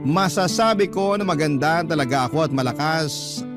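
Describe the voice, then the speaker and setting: A man's voice: a little high, moderate pace, over loud music. A man narrating a first-person letter as a radio host, in a storytelling style.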